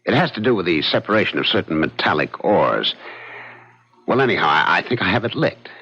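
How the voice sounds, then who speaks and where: Deep-voiced anxious, evasive businessman. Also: sing-song, pushed and bright, husky, exaggerated voice. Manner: earnest, halting, defensive. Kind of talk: old-time radio drama acting. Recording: muffled old radio recording.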